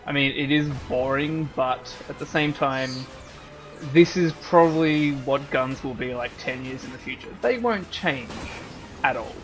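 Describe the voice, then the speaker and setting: A man's voice: medium pitch, a little slow, faint music behind, rough recording. A man giving casual let's-play commentary, joking and rambling as he riffs on the game. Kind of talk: casual gaming banter.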